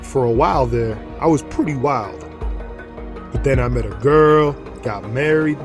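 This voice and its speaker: A man playing a remorseful middle-aged father, a former gang leader and recovering alcoholic, with rough, plain streetwise speech in an audio drama. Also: mid-range voice, unhurried, natural voice. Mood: weary, confessional. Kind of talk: audio drama acting, confessional monologue. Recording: dramatic music under the voice. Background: music.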